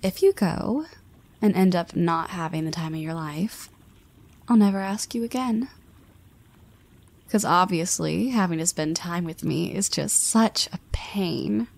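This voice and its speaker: A woman's voice: lowish voice, measured pace, gravelly, darkish tone. A woman voicing a confident young woman who teases her friend, with playful half-whispered asides. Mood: teasing. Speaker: voice actress. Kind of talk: scripted audio roleplay.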